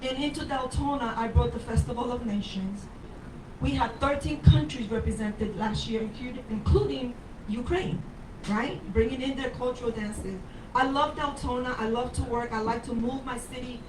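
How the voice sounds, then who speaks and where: A woman's voice: fairly high pitch, normal speed, recorded from a distance, background noise. An older woman from Puerto Rico, a candidate for mayor speaking at a forum, rambling and emphatic as she tells personal stories. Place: bar with a live audience. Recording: roomy sound from off the mic.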